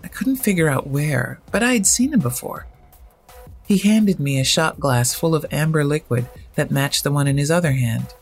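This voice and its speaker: A storyteller with a husky voice, narrating first-person horror fiction in a measured, dramatic way. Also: mid-range voice, animated, soft background music.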